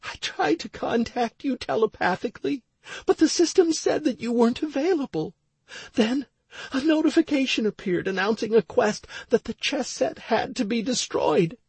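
A frantic, tearful young wife, acted out by a male narrator, pitched high and pleading. The delivery is urgent and emotional, like audiobook dialogue, with a slightly husky edge and a dry, close sound. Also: lively intonation, normal speed, a little mellow, no echo, heavily acted.

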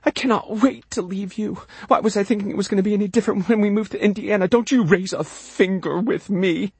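A man's voice: sits high, fairly quick, darkish tone, breathy edge, no room sound, pitched above the performer's own voice. An actor playing a fierce, fed-up, protective American mother in a stage monologue, screaming and scolding. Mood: outraged.

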